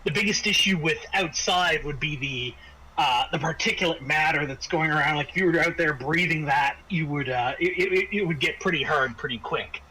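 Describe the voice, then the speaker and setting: A man acting as game master, improvising scene descriptions in halting phrases with frequent repeats. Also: fairly high pitch, bright, forceful tone, rough recording. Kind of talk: improvised narration of a tabletop game. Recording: faint background noise.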